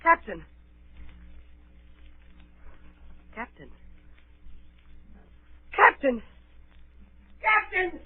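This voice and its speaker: Earnest lad of fourteen: very high, pleading voice, 1930s radio acting, tinny broadcast. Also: male voice, fairly quick, slightly rough, dry recording. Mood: alarmed. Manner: urgent, pleading. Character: anxious, earnest fourteen-year-old innkeeper's boy.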